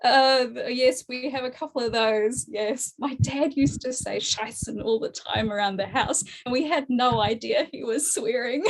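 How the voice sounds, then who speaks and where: A woman's voice: on the high side, a little slow. A woman in her forties with a New Zealand accent, a guest talking casually in an interview, chatty with frequent laughs and many fillers. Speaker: guest artist.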